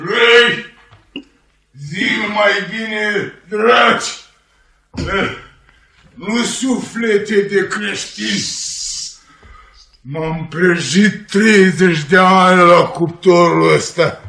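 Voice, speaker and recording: A bitter old cook, proud and drink-sodden, in 1950s radio theatre. He rants plaintively in a high-pitched voice. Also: fairly even intonation, vocal fry, pauses often, pushed and bright, full character voice. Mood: bitter, indignant. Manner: ranting, plaintive. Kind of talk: Romanian radio theatre acting.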